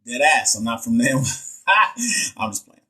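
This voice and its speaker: Playful husky man from Texas. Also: medium pitch, big pitch swings, measured pace, sharp tone. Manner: playful, joking, slangy, reads viewer comments aloud. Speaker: nurse educator hosting a live stream. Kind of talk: casual live stream chat and quiz review.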